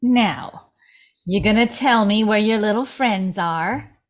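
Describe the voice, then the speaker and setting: A woman's voice: medium pitch, takes it slowly, close to an everyday voice. A woman reading the part of a threatening older mother who runs a gang of criminals.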